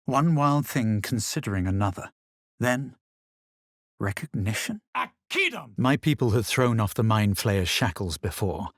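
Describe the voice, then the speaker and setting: An actor playing a self-assured, haughty fantasy adventurer in a video game, husky and dramatic in delivery. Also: male voice, lowish voice, animated, no echo, clean studio sound. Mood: commanding, incantatory. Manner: measured, dramatic, superior. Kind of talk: scripted game character lines, first-person narration and spell incantations.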